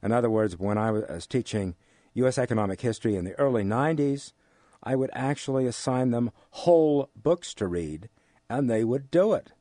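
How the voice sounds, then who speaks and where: An older American man giving thoughtful interview answers in a measured, formal way, pausing as he searches for words. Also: on the low side, dark tone, no echo, clean studio sound. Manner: measured, deliberate, formal wording with hesitant pauses. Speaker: interview guest, college history teacher. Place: radio studio.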